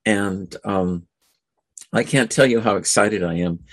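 A man hosting a radio talk show in an informal monologue, halting and often repeating or stumbling over his phrases. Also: lowish voice, dry recording.

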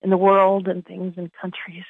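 A woman's voice: fairly low pitch, completely flat delivery, a little slow, vocal fry, dry recording. A middle-aged woman with a slight New Jersey accent, chatting casually and hesitantly as a caller on a phone line. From New Jersey, USA. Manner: hesitant, tentative. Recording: dull, lo-fi phone-call sound.

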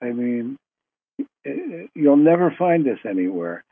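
A man hosting a spiritual conference call by telephone, speaking informally and deliberately in short phrases with long pauses. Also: medium pitch, sing-song. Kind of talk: informal spoken introduction to a live group call. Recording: muffled telephone conference line.

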